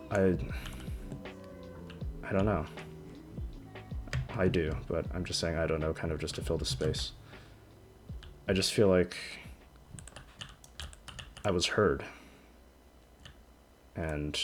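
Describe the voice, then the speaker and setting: A young man in his twenties with a deep voice, rambling hesitantly about his life in a personal vlog, often stopping and restarting. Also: fairly even intonation. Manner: hesitant, halting, frequent restarts. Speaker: vlogger. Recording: music playing under the voice. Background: background music.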